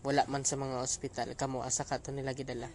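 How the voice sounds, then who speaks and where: A man hosts in a casual, chatty style, rambling and repeating himself. His pitch is average, and there is faint noise in the recording. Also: level tone, fast talker. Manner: rambling, repetitive. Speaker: host, content creator. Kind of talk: casual vlog-style chat.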